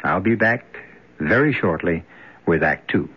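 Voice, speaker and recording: A man's voice: low-pitched, lively intonation, measured pace. A man hosting and narrating a 1970s radio mystery drama in a scripted, dramatic style. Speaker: host and narrator. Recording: muffled old radio broadcast recording.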